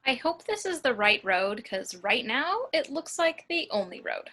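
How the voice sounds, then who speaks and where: A woman's voice: fairly high pitch, very animated, a little slow, bright, forceful tone, exaggerated voice. A woman at an amateur table read playing a sassy, dryly teasing college girl.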